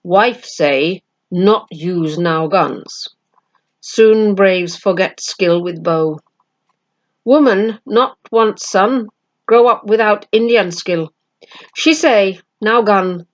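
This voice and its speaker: A woman's voice: mid-range voice, lo-fi sound, natural voice. A woman voicing a proud, stern warrior chief in a storybook, with clipped, emphatic broken English.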